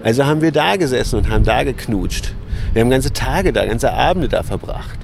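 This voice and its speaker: Man, mid-pitched voice, candid casual interviewee, searching for words, faint outdoor urban noise. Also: animated, brisk, creaky. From Berlin, Germany. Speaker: interview guest. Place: outdoors on a large urban lawn. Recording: noisy outdoor recording with traffic ambience.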